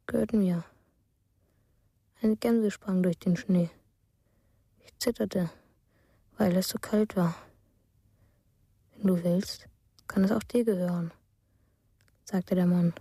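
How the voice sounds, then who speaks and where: A woman playing a quiet, dreamy narrator of a child's strange stories in a radio drama, husky, soft and unhurried. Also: medium pitch, steady tone, no echo, clean studio sound. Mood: dreamy, detached. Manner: simple, unhurried, matter-of-fact. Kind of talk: slow storytelling in a radio drama.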